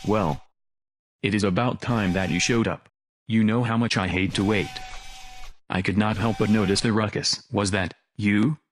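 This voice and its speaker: A man's voice: lowish voice, fairly even intonation, normal speed, a little hiss or hum, close to an everyday voice. A man playing a demanding, dryly exasperated hero in a sci-fi audio drama. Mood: weary, impatient. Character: weary, demanding male hero in custody.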